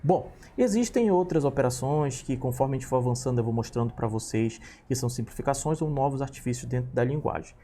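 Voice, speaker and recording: A patient male teacher in his thirties explains code as he types, checking in often with his students. His voice is relaxed and mellow. A faint background hiss sits under the desk recording. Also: medium pitch, a little fast, hardly any pauses. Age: 30s. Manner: patient, talks through each step with frequent "tá?" and "ok?". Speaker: programming teacher. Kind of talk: screen-recorded coding lesson.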